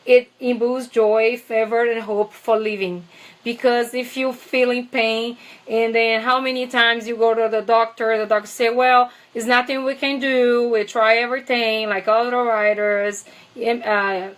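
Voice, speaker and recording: Brazilian-accented woman, slightly high pitch, enthusiastic vlogger, loose and filler-heavy. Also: little pitch movement, measured pace. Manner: chatty, many fillers, enthusiastic. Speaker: essential oils seller. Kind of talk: casual product vlog.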